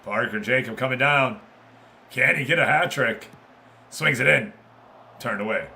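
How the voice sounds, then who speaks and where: Bright-voiced man calling simulated football. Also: mid-range voice, unhurried, creaky, slight background noise. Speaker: streamer commentating football management game matches. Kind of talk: live play-by-play game commentary with casual asides to chat.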